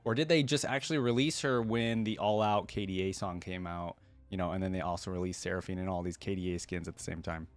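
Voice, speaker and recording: A woman's voice: a bass voice, fast, hardly any pauses, soft background music. A woman hosting a casual game reaction, musing and inquisitive, often checking her thoughts with a questioning tag. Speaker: reaction video host.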